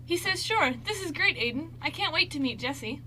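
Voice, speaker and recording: A woman's voice: extremely high pitch, animated, a little fast, sharp tone, heavily acted. A woman playing a bubbly, teasing, sweet young best friend in an amateur radio play, gushing playfully. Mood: excited.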